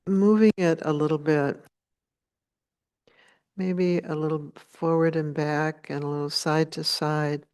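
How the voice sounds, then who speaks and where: A woman leading a guided meditation as a teacher, speaking in a gentle, unhurried way with long pauses. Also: low voice, smooth.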